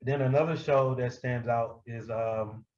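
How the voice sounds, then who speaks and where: An American man telling stories casually in an interview, profane and quick to laugh. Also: lo-fi sound. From Milwaukee, United States. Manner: animated, profane, breaks often into high-pitched laughter. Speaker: hip-hop artist being interviewed. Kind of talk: casual anecdotal storytelling.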